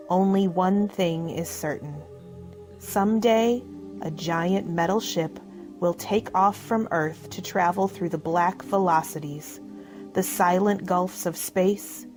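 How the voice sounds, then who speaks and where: Female radio drama announcer, low-pitched and unhurried, dramatic scripted read over prominent music. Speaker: announcer and narrator.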